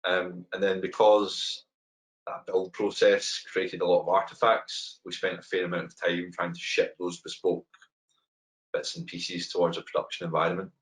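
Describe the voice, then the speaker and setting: A middle-aged Glaswegian man talks through a webinar presentation over a webcam. His voice sits very low and he speaks in a loose, chatty way, full of ums and restarts. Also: moderate pace. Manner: relaxed and conversational, frequent ums and repeated words. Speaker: head of open banking technology, webinar speaker. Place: home room, indoors. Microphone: webcam on a video call.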